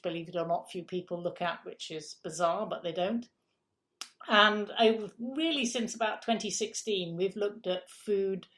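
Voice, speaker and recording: Frank low-voiced woman explaining. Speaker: executive director of a food council, interview guest. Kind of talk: interview answers.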